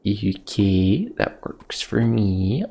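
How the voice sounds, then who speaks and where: A man's voice: on the low side, animated, very slow, creaky, warm tone, slight background noise. A young man thinking aloud as he plays a game, muttering to himself. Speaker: let's play host. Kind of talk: gameplay commentary.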